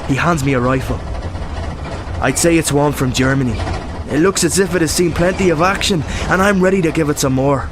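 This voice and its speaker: An actor playing an eager, proud young Irish rebel volunteer, delivering a radio play monologue. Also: male voice, a little high, brisk, background noise, natural voice. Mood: resolute, excited.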